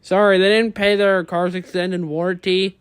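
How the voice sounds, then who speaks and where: High-voiced young-sounding casual male reactor. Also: flat delivery, moderate pace, clean tone, no echo. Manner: joking, slangy asides. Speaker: reaction video host.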